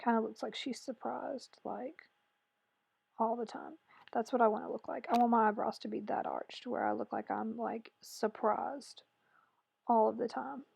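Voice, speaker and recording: A woman with a hoarse, raspy voice chatting through a makeup tutorial voice-over, rambling and correcting herself as she goes. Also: a little high, animated, moderate pace, darkish tone, rough recording. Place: voice-over recorded separately from the video.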